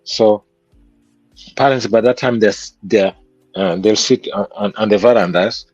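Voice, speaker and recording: A man's voice: on the low side, measured pace, darkish tone, no room sound, lo-fi sound, soft background music. A man with a Kenyan accent, a guest musician telling stories from his childhood in an interview. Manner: reflective, storytelling. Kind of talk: interview conversation.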